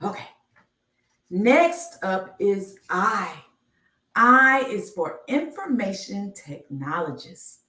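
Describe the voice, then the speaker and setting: A woman reading a children's picture book aloud on a live stream, enunciating deliberately like a teacher. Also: medium pitch, a bit bright. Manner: slow, deliberate enunciation with teacherly announcements of each letter. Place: indoor room, live stream. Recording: roomy, echoey, distant microphone.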